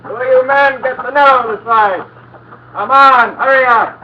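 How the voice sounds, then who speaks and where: An actor playing a gruff boat boss who gives orders to his crew in a 1950s radio drama. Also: very high voice, unhurried, slight background noise. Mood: barking orders. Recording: muffled old radio recording.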